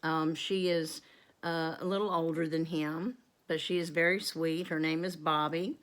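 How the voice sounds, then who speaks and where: A woman in her fifties with a drawl talks straight to camera. Her voice sits on the lower side, and she rambles through a casual Q and A, filling pauses with ums and wandering off into asides. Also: steady tone. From North Carolina, USA. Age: 50s. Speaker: homestead vlogger.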